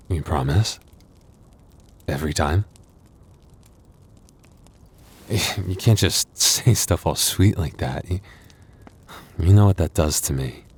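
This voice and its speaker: A man voicing a tender, bashful young werewolf boyfriend in an intimate ASMR roleplay, halting and stammering, with breathy little laughs and sighs. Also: a bass voice, darkish tone, full character voice. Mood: flustered, affectionate.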